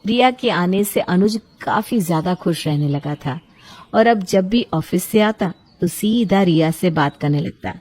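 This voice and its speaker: Husky woman narrating a bedtime story. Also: lowish voice, lively intonation, measured pace, a little mellow. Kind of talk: scripted bedtime-story narration in the third person.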